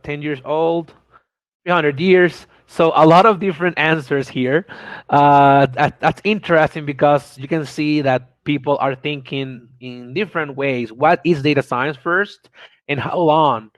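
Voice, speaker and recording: A man in his thirties with a Venezuelan Spanish accent presents on a video call in an eager, stumbling way. He repeats words, restarts and fills gaps with many uhs. His voice sits somewhat high, and the recording sounds dry and close. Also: a little slow, dry recording. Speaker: conference speaker. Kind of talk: online presentation.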